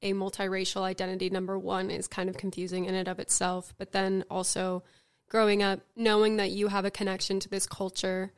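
A woman's voice: medium pitch, completely flat delivery, fairly quick, clean tone. A young woman from the Bay Area of California, a podcast guest talking reflectively in a casual chat, full of "like" fillers.

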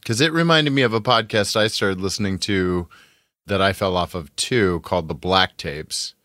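Man, low pitch, bright punchy tone, dry recording, casual podcast co-host. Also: normal speed, dry recording. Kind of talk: casual review chat about TV.

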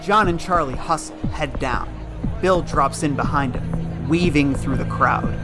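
A man's voice: on the high side, a little slow. A man reading scripted, dramatic narration as the narrator of an audio drama. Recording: loud music under the voice. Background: loud music.

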